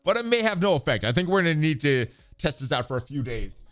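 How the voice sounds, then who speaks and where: Clear-voiced man doing casual game commentary. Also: a little high, sing-song, quick pace, no echo, faint music behind. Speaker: host of a gaming channel.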